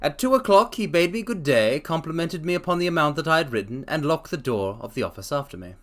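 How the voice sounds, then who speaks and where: A man's voice: fairly high pitch, animated, quick pace, a bit bright. A man reading as an earnest middle-aged shopkeeper. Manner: plain, earnest storytelling. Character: earnest middle-aged male shopkeeper telling his story.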